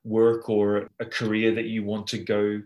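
Low-voiced man chatting encouragingly. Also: monotone, measured pace, a little mellow. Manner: encouraging, lots of okays and restarts. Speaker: conversation partner. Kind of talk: casual conversation.

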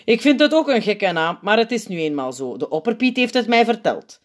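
A woman's voice: medium pitch, very animated, a little fast, pitched above the performer's own voice. A woman voicing a confused, childlike old male saint who speaks in a bewildered, oblivious way.

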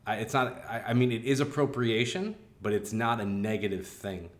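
A man who works as an art educator, chatting casually and quickly, with lots of "like" fillers. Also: fairly low pitch, echoey room. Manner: fast, chatty, many "like" fillers. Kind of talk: casual conversation.

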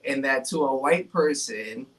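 A man's voice: mid-range voice, unhurried, a bit bright, rough recording. A man co-hosting a podcast in casual chat, easygoing and lightly joking. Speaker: podcast co-host. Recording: voice off-mic.

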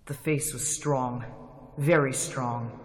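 A man's voice: mid-range voice, fairly even intonation, slow. A man narrating a classic radio drama in a tense, dramatic storytelling manner. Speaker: narrator and lead actor. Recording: loud music under the voice.

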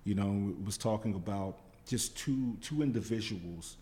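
A man hosting a podcast in casual chat, halting and repeating himself with many fillers. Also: on the low side, warm tone, in a large hall. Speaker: podcast host.

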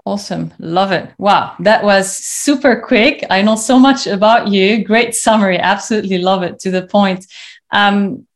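A woman's voice: mid-range voice, moderate pace. Effusive woman podcast host. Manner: effusive and enthusiastic. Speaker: podcast host, leadership coach. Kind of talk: podcast interview hosting.

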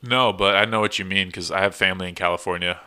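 A man's voice: deep voice, fairly even intonation, fast talker, pushed and bright, clean tone. A young man in casual chat, trading dry jokes and chuckling between remarks.

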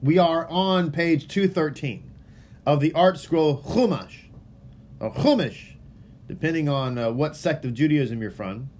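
A man's voice: on the high side, measured pace, darkish tone. A man from Texas, a rabbi casually welcoming a livestream audience before a Torah reading, earnest and rambling, often stumbling and repeating words.

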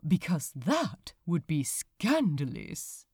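A woman voicing a refined, mischievous gentleman who teases with prim mock propriety in a storybook reading. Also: deep voice, huge pitch swings, slightly rough, no echo, clean studio sound, voice pushed down, exaggerated voice. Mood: mock-proper, teasing. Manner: prim, mock-proper, deliberate innocence. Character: refined, mischievous gentleman, teasing dance tutor.

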